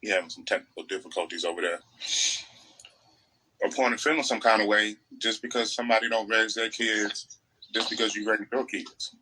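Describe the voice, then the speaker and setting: A man's voice: on the low side, fairly even intonation, brisk, sharp tone, breathy edge, poor audio. An American man chatting casually as a podcast co-host, making opinionated points emphatically and repeating phrases.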